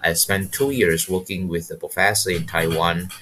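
A Malaysian man in his fifties with a very deep voice, answering questions as a podcast guest. He speaks haltingly, circling back over words as he thinks. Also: steady tone, bright, forceful tone, slight background noise. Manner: halting, repeats words while searching. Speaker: guest, wildlife biologist. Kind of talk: podcast interview, personal story.

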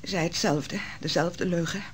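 An actress playing a falsely accused young wife who gravely recounts her ordeal in an old radio drama. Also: fairly low pitch, steady tone, a little slow, slight background noise, natural voice. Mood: anguished. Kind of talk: 1960s Dutch radio drama.

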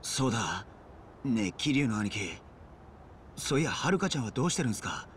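An actor playing an earnest, hot-headed young yakuza underling in a game drama, with a rough, blunt way of talking. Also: male voice, medium pitch, very animated, moderate pace, fairly bright tone, a little hiss or hum. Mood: concerned. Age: young adult. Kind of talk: dramatic Japanese video game acting.

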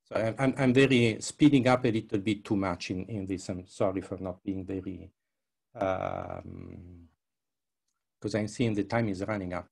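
Gravelly older man presenting, non-native English speaker. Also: deep voice, steady tone, normal speed, a little mellow. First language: not English. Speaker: researcher presenting his work. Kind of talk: live presentation with software demo. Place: indoors, on a video call. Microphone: headset.

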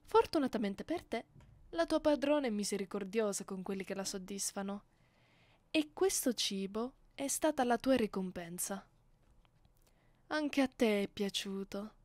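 Haughty, slow-speaking vampire mistress voiced by a woman. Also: medium pitch, expressive, a little mellow, dry recording, lightly acted. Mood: smugly pleased. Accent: Italian. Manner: slow, condescending, teasing, often dropping into whispers. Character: haughty, seductive female vampire mistress, commanding her captive. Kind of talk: ASMR roleplay, soft close speech. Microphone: close studio microphone.

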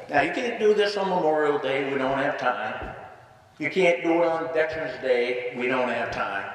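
An older American man, a veteran, gives a solemn memorial address in deliberate, halting phrases at a podium. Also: on the high side. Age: older, 60s or 70s. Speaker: veteran speaking at a memorial service. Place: large indoor hall, at a podium. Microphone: podium microphone on a stand. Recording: very echoey hall, distant pickup.

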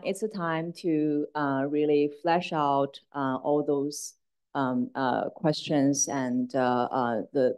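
A woman with a low-pitched voice acts as moderator at an academic event. She speaks informally and feels her way through sentences with halting phrasing. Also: a little mellow. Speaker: moderator, assistant professor. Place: university lecture room.

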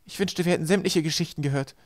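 A man acts a practical teenage girl in a storybook, worried and desperate. The voice is lifted and tight in the throat, and it comes quickly. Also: fairly high pitch, no echo, studio quality, voice pushed up, natural voice. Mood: desperate, strained. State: tight-throated, scratchy from poison.

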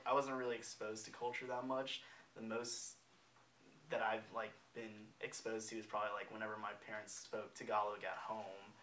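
Halting young man in an echoey room. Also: on the low side, level tone, a bit bright. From United States. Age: early 20s. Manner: halting, heavy use of "like". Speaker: student interviewee. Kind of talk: casual on-camera interview. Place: small bare room indoors. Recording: echoey room with background noise.

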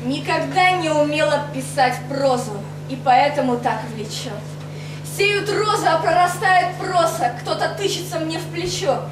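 Young woman poet, very high voice, lilting recitation, echoey club room, distant mic. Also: measured pace, noisy background. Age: young adult. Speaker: poet reading her own poems. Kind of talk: poetry recitation. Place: stage of a rock cafe. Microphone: far camera mic, no handheld mic.

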